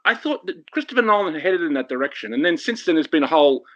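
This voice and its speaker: A man talking fast and opinionated as a podcast guest, with lots of restarts and asides. The audio is dull and lo-fi, like an online call. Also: fairly high pitch, very animated. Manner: rambling, opinionated, quick. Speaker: guest filmmaker. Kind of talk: podcast discussion.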